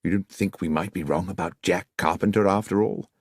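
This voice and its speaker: Man as an earnest doctor companion, low voice. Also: fairly even intonation, brisk, breathy edge, no echo, studio quality. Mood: puzzled. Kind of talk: audiobook character reading.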